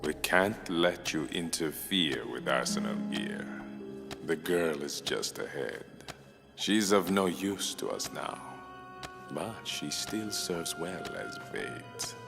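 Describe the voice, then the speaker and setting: An extremely low, creaky villain, cold and weary of the world. He speaks slowly and deadpan in a game drama, over loud music. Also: heavily acted. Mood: dry, ominous. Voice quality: very deep, gravelly. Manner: menacing, deadpan, deliberate. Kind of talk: dramatic video game voice acting.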